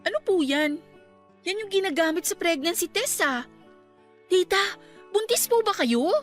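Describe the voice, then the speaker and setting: A woman playing a caring, excitable young niece, polite and emphatic, in a radio drama. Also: extremely high pitch, unhurried, faint music behind. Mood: curious. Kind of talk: Tagalog radio drama acting.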